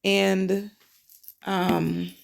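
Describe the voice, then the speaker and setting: A woman's voice: mid-range voice, flat delivery, extremely slow, creaky. A middle-aged woman from Trinidad and Tobago, a nail technician chatting casually as she explains each step of her work. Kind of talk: casual nail tutorial narration.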